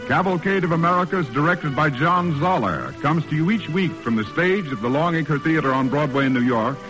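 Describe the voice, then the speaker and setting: A man's voice: on the high side, lively intonation, brisk, rough recording. A man announcing a radio program in formal 1940s broadcast style. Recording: old radio broadcast with music behind.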